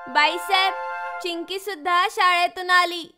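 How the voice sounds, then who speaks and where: Household maid for a children's tale, acted by a woman: dutiful, polite, extremely high voice. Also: fairly even intonation, unhurried, over music.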